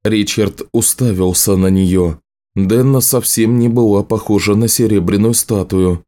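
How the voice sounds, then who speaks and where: A man reading a novel aloud as an audiobook narrator, in a measured, serious storytelling manner. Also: fairly low pitch, mellow. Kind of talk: scripted literary narration.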